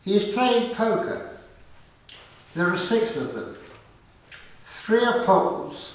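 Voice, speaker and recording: A man's voice: high voice, big pitch swings, slow. A man reading scripted narration for a radio play. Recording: very echoey room, far from mic.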